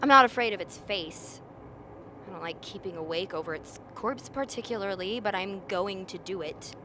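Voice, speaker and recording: A woman playing a combative, sardonic expedition member in a radio drama, forceful and scornful. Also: medium pitch, moderate pace, background noise, close to an everyday voice. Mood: defiant.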